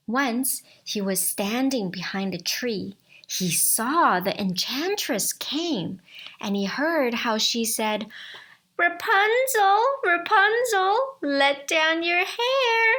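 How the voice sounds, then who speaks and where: A woman narrating a bedtime fairy tale, deliberately, in short phrases with pauses. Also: medium pitch, fairly bright tone, breathy edge. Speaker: storyteller. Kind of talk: bedtime story narration, simple and unhurried.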